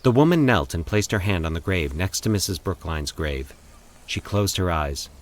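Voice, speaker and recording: A man with a low voice narrates scripted horror fiction. He delivers it as a podcast host and storyteller, reading from prepared text. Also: lively intonation, moderate pace.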